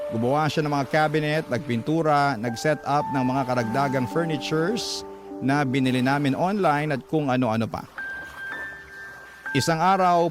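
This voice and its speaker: A man with a Filipino accent narrating a radio drama as a first-person story. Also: medium pitch.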